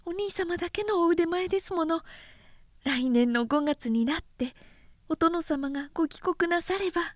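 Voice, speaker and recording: Woman playing a high-voiced, devoted young sister. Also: animated, moderate pace, no room sound, studio quality. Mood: hopeful. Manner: polite, earnest, feminine old-fashioned speech.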